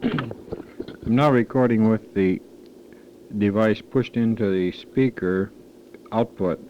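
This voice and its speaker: A man talks haltingly through an off-the-cuff recording test. His voice is fairly low, and the amateur tape is dull with faint noise, as he works in a tentative, puzzled way. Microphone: microphone at varying distance.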